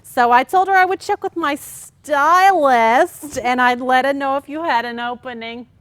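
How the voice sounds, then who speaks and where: An actress playing a pushy, chatty married woman in a comic staged play reading, talking in an excitable, persuasive, emphatic way. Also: high voice, a little slow, no room sound. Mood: smug storytelling. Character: pushy, scheming, chatty younger married sister Mary, mother of kids.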